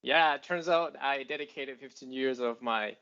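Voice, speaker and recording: A man's voice: medium pitch, normal speed, sharp tone, clean tone, lo-fi sound. A man from Mexico City with a Mexican accent, a panelist talking casually over a video call, rambling with many fillers and restarts. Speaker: panelist, software engineer.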